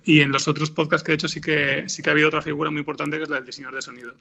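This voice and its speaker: A man giving informal interview answers as a scriptwriter, haltingly, repeating words as he thinks, with a gravelly, husky voice. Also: a little high, level tone, pushed and bright, muffled recording, a little hiss or hum. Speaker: podcast scriptwriter being interviewed.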